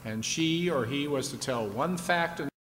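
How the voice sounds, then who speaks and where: A man's voice: a little slow, a bit bright. A man playing a chatty host of an old-time radio quiz show, leading contestants along with questions. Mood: brisk, explaining. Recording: echoey hall, faint rumbling noise.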